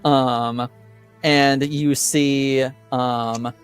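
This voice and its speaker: A man improvising narration as game master of a tabletop game, with a halting, hesitant delivery. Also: medium pitch, fairly even intonation, clear, resonant tone, soft background music.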